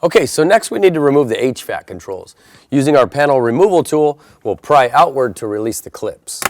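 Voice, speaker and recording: A man's voice: medium pitch, sing-song, fairly quick, no echo, studio quality. An American man presenting a step-by-step installation tutorial in an instructional style.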